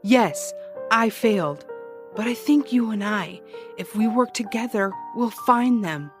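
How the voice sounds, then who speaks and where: An actress playing a brash, dogged ex-cop turned private detective in an audio drama, with a wry, insistent, teasing way of talking. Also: female voice, fairly high pitch, lively intonation, a little slow, soft background music. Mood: pleading, determined. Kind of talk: naturalistic audio drama dialogue.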